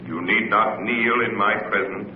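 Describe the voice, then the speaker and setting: An actor playing a solemn, imperious ancient Pharaoh with stately, formal delivery in an old-time radio drama. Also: male voice, lowish voice, fairly even intonation, moderate pace, natural voice. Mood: regal. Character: commanding, solemn ancient male Pharaoh spirit. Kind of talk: 1940s radio drama acting. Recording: distant, very noisy, phone-like radio recording.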